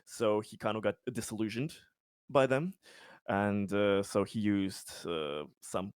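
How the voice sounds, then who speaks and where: A man's voice: lowish voice, takes it slowly, clean tone, no echo. Man rambling through a game recap, close dry sound. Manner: rambling, sweary, self-amused. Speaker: tabletop RPG player. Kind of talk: casual post-game recap of a character's schemes.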